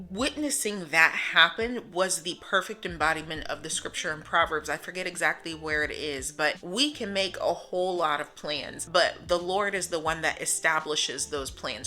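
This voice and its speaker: An American woman in young adulthood telling the earnest story of how she met her husband, laughing often and acting out what people said. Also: sits low. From United States. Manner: earnest, animated, laughs often, voices her own quotes. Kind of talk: storytime narration.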